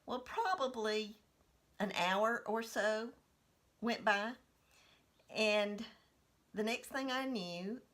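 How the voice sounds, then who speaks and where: A woman in her sixties telling a personal childhood story in an unhurried, reminiscing manner. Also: fairly high pitch, expressive, a bit bright. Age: 60s.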